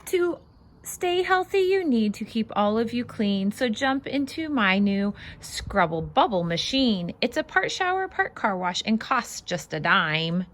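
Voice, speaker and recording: A woman reading a children's picture book aloud as host, expressive and sing-song, stressing the rhymes and adding casual asides. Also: on the high side, unhurried, a little hiss or hum.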